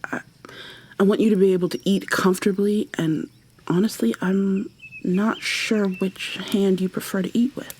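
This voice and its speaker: A woman playing a tender, possessive kidnapper in an ASMR roleplay, speaking softly and haltingly, often slipping into a breathy whisper as she coaxes. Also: mid-range voice, steady tone, a little hiss or hum, close to an everyday voice. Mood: coaxing, tender. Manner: coaxing, gentle, halting. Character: tender, possessive female kidnapper doting on her captive lover.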